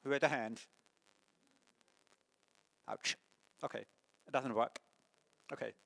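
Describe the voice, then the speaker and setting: A deaf man with a mixed French and English accent gives a conference talk, speaking haltingly with many restarts. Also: mid-range voice, fairly even intonation, vocal fry, a bit bright, poor audio. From born in the United States, lives in France. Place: conference hall.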